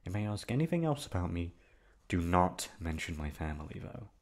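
Man acting low, gravelly possessive young prince. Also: big pitch swings, brisk, a little mellow, heavily acted. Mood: cold, commanding. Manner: formal, stilted diction, swinging from tender to commanding. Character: possessive, lonely, obsessive young prince holding a captive lover. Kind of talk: ASMR roleplay acting.

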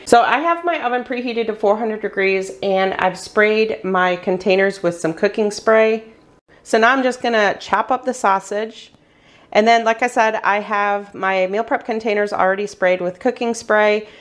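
A middle-aged woman, chatting step by step through a meal prep tutorial as host of her cooking channel. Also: medium pitch. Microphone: voice-over while hands cook on camera.

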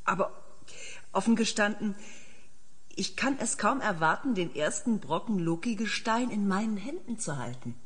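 An actress in a German audio drama playing an enthusiastic planetary scientist, precise and lecturing. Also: female voice, medium pitch, lively intonation, normal speed, a bit bright, husky. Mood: confiding, enchanted. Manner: precise, lecturing, openly fascinated.

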